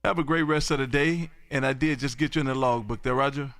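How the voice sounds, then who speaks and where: A man's voice: mid-range voice, steady tone, fast talker, fairly bright tone, no room sound. An American man hosting an amateur radio live stream in a folksy, repetitive patter, chatting casually with callers. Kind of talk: lively ham radio live stream chat.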